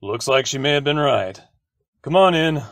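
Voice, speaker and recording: A man's voice: mid-range voice, lively intonation, moderate pace, a bit bright, no echo. A man playing a wry police lieutenant in an old-time radio drama, talking matter-of-factly. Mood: businesslike. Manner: matter-of-fact. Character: brisk, wry male police lieutenant, old pal of the detective. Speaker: supporting actor.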